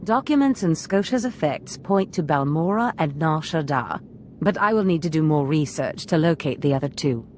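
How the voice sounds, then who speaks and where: An actress playing a scheming, commanding female dark lord in a video game, composed, measured and authoritative. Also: low voice, expressive, a little hiss or hum. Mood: grave, scheming. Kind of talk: video game character acting.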